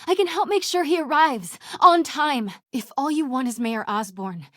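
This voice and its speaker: A woman playing a determined young reporter in a video game, breathy and often hushed, with a wry way of talking to herself. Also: high-pitched, lively intonation, normal speed, dry recording, studio quality. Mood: bold, urgent. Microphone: close, dry studio mic.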